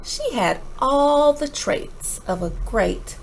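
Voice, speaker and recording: An animated woman reads a picture book aloud for children. Her fairly high voice swings widely in pitch and takes its time, stressing words dramatically. Faint noise sits behind her. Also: slow, gravelly, rough recording. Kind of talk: expressive children's read-aloud with asides.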